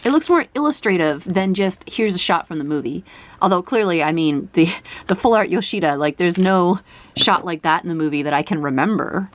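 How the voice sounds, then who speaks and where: A woman talking casually to her viewers as she opens a box of trading cards. Her pitch rises and falls a lot, and she thinks out loud, with fillers and questions to the audience. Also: animated, fairly quick. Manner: chatty, musing aloud with fillers and questions to viewers. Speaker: card collecting vlogger. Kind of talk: casual card opening chat.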